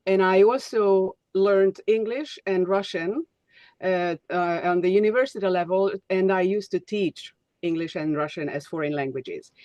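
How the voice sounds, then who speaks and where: A woman, an English speaker, answering a question in a thoughtful, halting way as she searches for words. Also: medium pitch, darkish tone, no echo, highs cut off. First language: Hungarian. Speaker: workshop participant.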